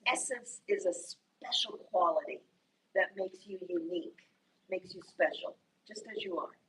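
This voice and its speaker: A woman's voice: on the low side, expressive, moderate pace, a bit bright. A woman English teacher from Indiana with an Indiana accent, leading a class in a patient, encouraging, explanatory way. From Indiana, USA. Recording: distant mic in a classroom, faint noise.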